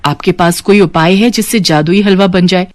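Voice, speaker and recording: A woman's voice: on the low side, moderate pace, lightly acted. Woman voicing a kind, earnest young bride. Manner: polite, earnest.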